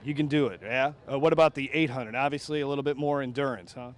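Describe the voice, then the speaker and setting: A man interviewing people on site for a local broadcast outdoors at a youth track meet, upbeat and quick with follow-up questions. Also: medium pitch. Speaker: sports event interviewer.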